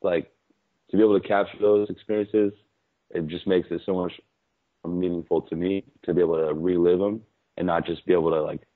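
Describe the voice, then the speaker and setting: A man's voice: sits low, steady tone, fairly quick. A man calling in as a podcast guest, rambling in laid-back, slangy casual chat. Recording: tinny phone-like call line.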